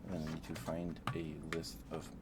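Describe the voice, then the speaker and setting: A man's voice: rumbling low, completely flat delivery, moderate pace, heavy background noise. A man hosting a casual livestream, thinking aloud in a hesitant way. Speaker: livestream host.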